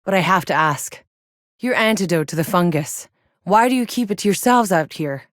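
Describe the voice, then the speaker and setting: A woman voicing a tough, wry female soldier in a sci-fi video game, with gruff, sardonic, casual swagger. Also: on the low side, lively intonation, moderate pace, no room sound, clean studio sound, close to an everyday voice. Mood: businesslike.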